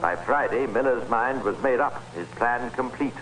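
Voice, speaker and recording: An actor playing the grave, measured narrator of a crime tale in an old-time radio drama. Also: male voice, mid-range voice, fairly bright tone, close to an everyday voice, poor audio. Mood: grave, ominous. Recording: hissy vintage radio recording.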